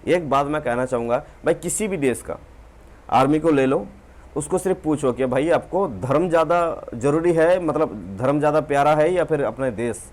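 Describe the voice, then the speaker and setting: A man's voice: on the high side, moderate pace, a little mellow. A man hosting a reaction channel, giving an opinionated commentary straight to his viewers. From India. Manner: opinionated, addresses viewers directly. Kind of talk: commentary monologue.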